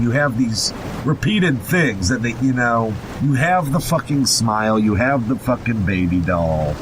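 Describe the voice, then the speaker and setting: A man co-hosting a podcast in a foul-mouthed, sardonic way, moving between casual commentary and mocking readings of a horror story. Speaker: podcast co-host. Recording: rumbling noisy recording.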